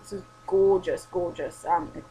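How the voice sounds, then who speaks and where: A young woman talks in a low, worn, tired voice. She rambles and swears casually, losing her voice a little. A steady hum runs under the home recording. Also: normal speed, poor audio. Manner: rambling, sweary, self-deprecating. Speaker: vlogger. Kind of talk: casual late-night chat.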